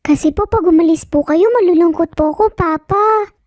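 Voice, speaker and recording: A woman's voice: very high voice, normal speed, a little mellow, dry recording, studio quality, pitched above the performer's own voice. A woman voicing a sweet, clingy little child who pleads politely in an audio story. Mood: pleading, sad.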